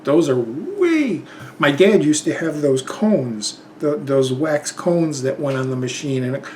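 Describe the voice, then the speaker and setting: A man's voice: fairly high pitch, expressive, unhurried, darkish tone, a little hiss or hum. A man, casually rambling through a tag video with lots of fillers and restarts. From Chicago, Illinois. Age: 50s. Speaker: YouTube channel host.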